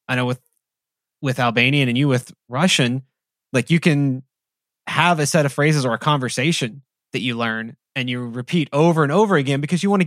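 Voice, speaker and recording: Male podcast co-host, mid-pitched, loose chatty delivery, dry close mic. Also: no echo. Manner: relaxed, rambling with frequent "like" fillers. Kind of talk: casual conversation.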